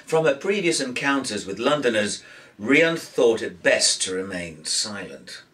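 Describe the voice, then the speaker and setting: A man reading a novel aloud as an actor, in expressive storybook narration. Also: medium pitch, unhurried, far from the mic.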